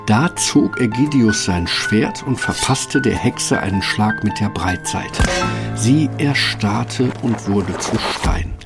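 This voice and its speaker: Guy narrating a fairy tale, deepish creaky voice, music going behind him. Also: lively intonation, takes it slowly. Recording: music underneath.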